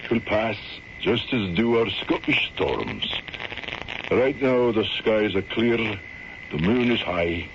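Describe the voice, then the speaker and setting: An actor playing a courteous, reassuring Scottish country doctor with a calm, deliberate manner in an old-time radio drama. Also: male voice, lowish voice, heavily acted. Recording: dull old radio broadcast, some noise.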